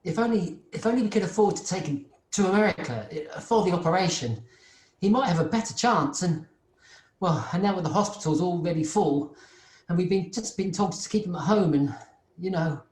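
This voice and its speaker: Woman playing a meek, low-voiced, hesitant male clerk. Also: animated, fairly quick, darkish tone, lightly acted. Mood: sad, hesitant. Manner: hesitant, deferential, stammering. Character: meek, anxious, downtrodden male office clerk. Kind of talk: radio play acting. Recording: roomy, echoing home recording.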